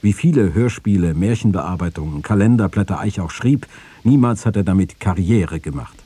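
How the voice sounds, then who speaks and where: Low, dark-voiced male quotation reader. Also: expressive, normal speed, breathy edge, dry recording. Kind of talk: formal reading of written quotations for radio.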